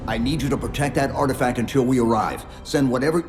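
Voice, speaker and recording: An actor playing a stoic, commanding armored super-soldier in a video game, speaking tersely. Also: male voice, medium pitch, fast talker, natural voice. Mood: urgent, commanding. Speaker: military commander. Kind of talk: video game cutscene acting. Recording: hall-like reverb, game music behind.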